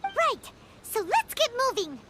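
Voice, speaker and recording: Feisty little fairy for a game: extremely high squeak, swooping intonation, excitable, loud music behind. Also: female voice, very noisy, exaggerated voice. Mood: eager, surprised. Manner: squeaky, excitable, blunt, drawn-out questions. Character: tiny, feisty, childlike fairy companion. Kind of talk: video game dialogue.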